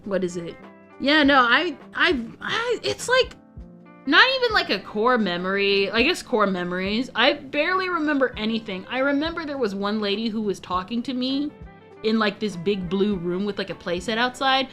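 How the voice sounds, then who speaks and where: A woman's voice: fairly high pitch, big pitch swings, moderate pace, pushed and bright, faint music behind. Giggly, rambling young woman streamer. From United States. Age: young adult. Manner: rambling, giggly, self-interrupting. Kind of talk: casual chat stream.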